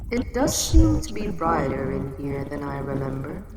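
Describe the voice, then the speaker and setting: Drenched in long cavernous reverb over loud ambient noise, a woman performs a colossal female kaiju queen from an audio drama. Her voice is low and unhurried. She is regal and calm, with a formal, protective air. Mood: patient, matter-of-fact. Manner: measured, matter-of-fact, slightly formal speech. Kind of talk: scripted roleplay audio drama monologue.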